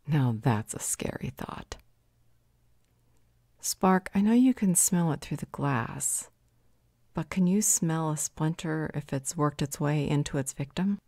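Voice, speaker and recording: A woman playing a thoughtful, strong-willed vampire, a werewolf's mate, speaking in a measured, dryly assertive way in a roleplay audio drama. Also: sits low, animated, dark tone, no echo, full character voice. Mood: puzzled, analytical.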